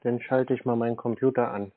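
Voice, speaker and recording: A man giving terse, deadpan answers in an unscripted phone conversation. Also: mid-range voice, brisk. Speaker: scam baiter. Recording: tinny narrow phone line.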